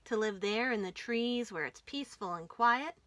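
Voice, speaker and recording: A woman voicing a weary, wistful female caboose who longs for quiet in a children's storybook. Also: a little high, lively intonation, moderate pace, no echo. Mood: longing. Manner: sighing, complaining. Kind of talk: children's storybook read-aloud.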